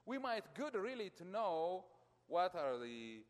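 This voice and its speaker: A middle-aged man from Ethiopia preaching a sermon as a pastor. He speaks emphatically to his congregation, his loudness surging and falling. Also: high voice, very animated, unhurried. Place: church. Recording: long church reverb.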